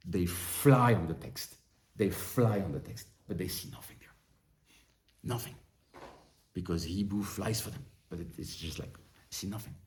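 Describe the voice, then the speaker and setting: A man teaching a study group on a religious text in an informal lesson. He often restarts and repeats words, then turns more animated as he works through a passage. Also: low voice. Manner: halting, restarts and repeats words while explaining. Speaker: Torah teacher.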